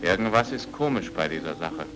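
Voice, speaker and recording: A man's voice: sits low, lively intonation, brisk, music in the background. An actor playing a dogged, suspicious man investigating in a dubbed film noir, terse and insistent. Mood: suspicious, pressing.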